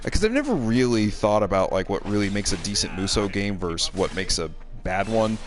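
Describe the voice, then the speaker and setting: A man in his thirties with a fairly low voice talks casually over clearly audible game music. He thinks aloud in a loose, rambling way, like a streamer commentating while he plays. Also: animated, a little fast.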